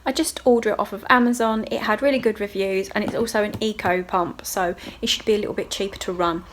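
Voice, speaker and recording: A woman's voice: mid-range voice, steady tone, quick pace, a little hiss or hum. A woman, a hairdresser chatting casually to her viewers in a video diary, rambling from one thing to the next. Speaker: vlogger and hairdresser.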